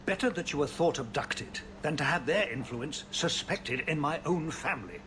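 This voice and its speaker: Careworn, stately city ruler. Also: male voice, on the high side, expressive, moderate pace. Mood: worried, reproving. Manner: formal, stately, reproving. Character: careworn, cautious male city ruler and worried father. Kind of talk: fantasy video game acting. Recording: loud ambient noise behind.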